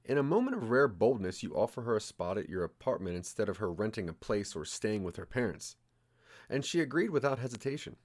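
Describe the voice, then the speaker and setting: A man's voice: fairly low pitch, sing-song, fairly quick. A man narrating a scripted second-person story as the narrator of an audio drama.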